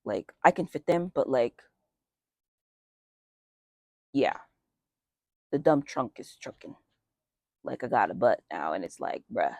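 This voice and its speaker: A young man chatting casually outdoors in a light breeze as a vlogger, with lots of fillers and wry asides. His voice is just starting to deepen early on testosterone. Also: big pitch swings, a little slow, creaky, long pauses, a little mellow, no echo. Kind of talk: casual life update chat.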